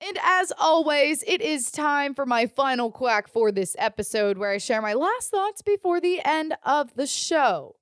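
A woman's voice: high-pitched, sing-song, unhurried, few pauses, dry recording. A woman hosting a sports podcast from a studio, speaking as an interviewer and presenter. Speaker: podcast host.

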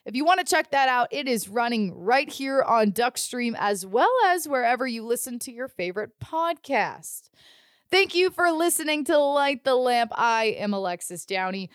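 A woman's voice: on the high side, expressive, normal speed, clear, resonant tone, no echo. A woman hosting a sports podcast from a studio, speaking as an interviewer and presenter. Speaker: podcast host.

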